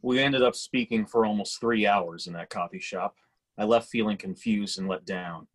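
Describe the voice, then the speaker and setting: Guy reading as a fed-up grown son, bit husky, halting and resentful, screenplay table read. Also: lowish voice, lightly acted. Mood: let down. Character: resentful, weary grown son confronting his absent father. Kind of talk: dramatic table-read dialogue and narration.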